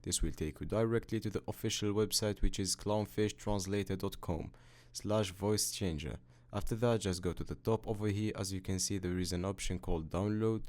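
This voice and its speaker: A man hosting a how-to video, narrating software steps in a matter-of-fact, instructional way. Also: on the low side, fairly even intonation, normal speed. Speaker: tutorial host. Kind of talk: scripted step-by-step software tutorial narration.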